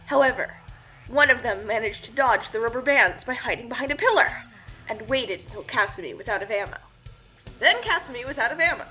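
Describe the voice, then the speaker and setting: A woman's voice: high-pitched, bright, forceful tone, poor audio. A woman narrating a scripted comic fan fiction tale in a theatrical, mock-epic manner, with music behind her.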